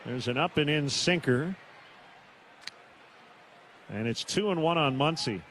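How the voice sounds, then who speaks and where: Higher-voiced man calling baseball play-by-play. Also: expressive, measured pace, fairly bright tone, slight background noise. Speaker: baseball radio play-by-play announcer. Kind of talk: live play-by-play commentary. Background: outdoor ballpark ambience.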